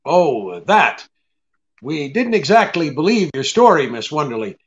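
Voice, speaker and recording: A man plays a shrewd private eye from a vintage radio mystery. His voice sits a little high and swings widely as he delivers wry, blunt remarks with small hesitations. The audio is slightly dull and lo-fi. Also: sing-song, measured pace. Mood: wry, cool. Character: shrewd, sardonic male private detective, cool and unruffled. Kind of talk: old-time radio detective drama re-creation.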